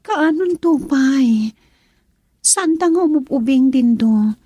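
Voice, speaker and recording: A woman's voice: sits high, expressive, slow pace, warm tone, dry recording. A woman playing a frustrated young girlfriend in an Ilocano radio drama, emotional and pleading as she longs to marry.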